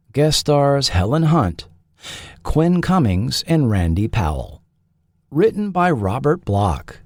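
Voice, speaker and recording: A man's voice: mid-range voice, no echo. Man narrating in a dry, close studio sound. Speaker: podcast host and narrator. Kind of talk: scripted documentary narration.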